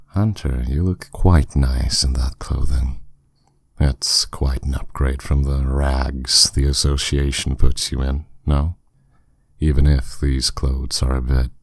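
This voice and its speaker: A man's voice: rumbling low, no echo, clean studio sound, exaggerated voice. A man playing a dominant, suave demon lord in an intimate roleplay audio drama, speaking in a commanding, condescending, teasing way.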